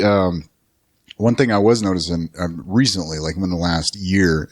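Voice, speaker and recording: Man, podcast co-host, very deep husky voice, dry close sound, loose profane musing. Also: no echo. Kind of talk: casual chat.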